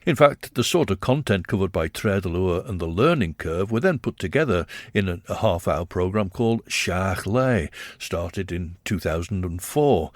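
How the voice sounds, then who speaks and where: An older Manx man with a deep voice tells his story at an even, measured clip. His pitch rises and falls a lot, giving the narration plenty of lift. The recording is close and dry. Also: no room sound. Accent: Manx English. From Isle of Man. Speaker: radio presenter and producer. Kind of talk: reflective documentary narration.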